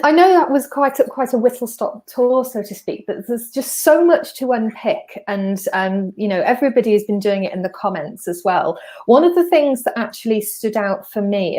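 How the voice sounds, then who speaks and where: Woman, fairly high voice, online host, friendly and chatty, video call. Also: warm tone. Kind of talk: hosting a live online talk.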